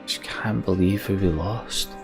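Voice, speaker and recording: A man giving casual, reflective game commentary as a let's play host, halting and wistful, often sighing. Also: low voice. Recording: music behind the voice. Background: game soundtrack music.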